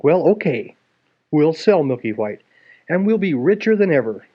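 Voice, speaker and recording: A man's voice: fairly high pitch, very animated, moderate pace. A man voicing a cheerful, boastful young boy in a storybook, confident and polite. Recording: dull lo-fi home recording.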